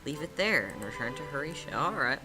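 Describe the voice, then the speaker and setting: Low-voiced female game host, lively range, casual and teasing story narration over music. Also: a little fast, rough recording. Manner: playful, sardonic. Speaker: host reading a choice-based story game aloud. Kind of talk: casual playthrough narration with banter. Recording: music playing behind the voice.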